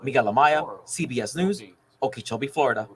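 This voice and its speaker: A man's voice: medium pitch, expressive, a little fast. An American man narrating a scripted television news report as the reporter.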